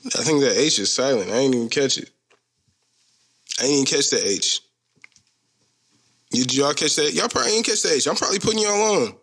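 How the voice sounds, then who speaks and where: A man's voice: medium pitch, animated, fairly quick, pauses often, a bit bright. A man in his thirties hosting a solo podcast, talking in a loose, rambling, slangy and joking way. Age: 30s. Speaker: podcast host. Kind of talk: casual solo podcast chat.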